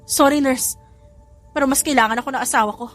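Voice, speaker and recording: A woman's voice: sits high, steady tone, quick pace, pitched above the performer's own voice, close to an everyday voice. Woman voicing an anxious, devoted young wife, music behind. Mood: anxious, urgent.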